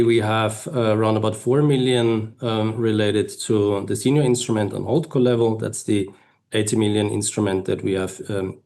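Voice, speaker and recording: A man speaking as a finance executive on an earnings call, explaining figures in a measured, halting way. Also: lowish voice, vocal fry, a little mellow. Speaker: finance executive presenting company results. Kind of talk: earnings call presentation and answers.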